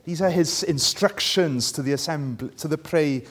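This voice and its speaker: A man's voice: on the high side, moderate pace, fairly bright tone. A Welsh man, a native Welsh speaker, preaching and reading scripture, checking in with listeners through questions. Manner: conversational, asks listeners rhetorical tag questions.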